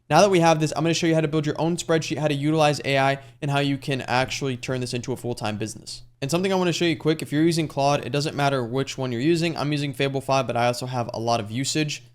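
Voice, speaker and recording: A young man coaching on YouTube channels, walking through a screen-share tutorial in a fast, casual, salesy way. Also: a little high.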